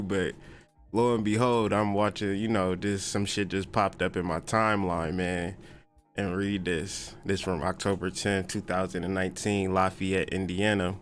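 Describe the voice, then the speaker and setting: Low voiced guy chatting on his livestream, laid back and slangy, bit of music under him. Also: a bit bright. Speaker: podcast and livestream host. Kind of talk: casual livestream chat.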